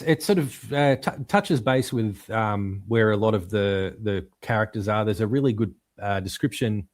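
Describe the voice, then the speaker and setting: A lower-pitched man co-hosting a podcast, talking comics in an easy, casual way. He stops and restarts often and fills gaps with ums, yet his enthusiasm comes through. The sound is dry and close, with no room echo. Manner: halting, full of ums and restarts. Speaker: podcast co-host. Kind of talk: casual chat about comics.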